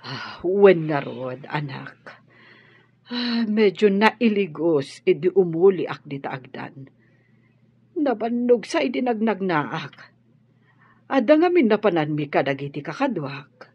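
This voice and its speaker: Casting: woman as gentle, weary rich madam in audio drama, very breathy, unhurried, dull recording. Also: on the low side, big pitch swings, no echo, full character voice. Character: tired, kindly middle-aged wealthy mistress, motherly. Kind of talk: Ilocano audio drama acting.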